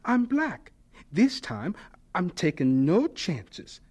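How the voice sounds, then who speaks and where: A man's voice: high-pitched, huge pitch swings, slow, darkish tone, husky, full character voice. An actor in a radio drama playing a devout, earnest young man who speaks politely and with determination. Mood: determined.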